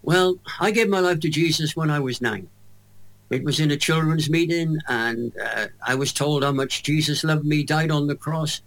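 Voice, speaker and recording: Animated Welsh older man. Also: fairly high pitch, normal speed, rough recording. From Wales. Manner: emphatic, animated storyteller with humour. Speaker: evangelist guest. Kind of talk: radio interview storytelling.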